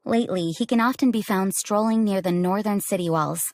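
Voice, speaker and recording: A woman's voice: mid-range voice, fairly quick, a little mellow, close to an everyday voice. A woman playing a diplomatic, courteous knight commander in a video game, speaking formally and earnestly. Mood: calm, encouraging. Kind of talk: scripted video game voice acting.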